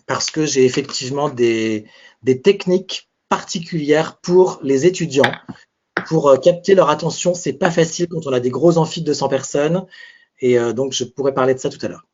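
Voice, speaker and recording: A man's voice: on the high side, darkish tone. A man leads a live webinar as a speaking coach, his slightly raised voice chatty and searching, restarting phrases as he thinks aloud. The audio comes through a dull computer microphone.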